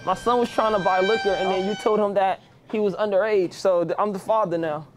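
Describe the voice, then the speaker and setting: A young man putting on a higher, louder voice to play a pretend father in a disguise, complaining about service in a liquor store. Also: fairly even intonation, fairly quick, background noise, close to an everyday voice. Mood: indignant. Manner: loud, boastful, higher pitched put-on voice. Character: pretend middle-aged father in a disguise, brash.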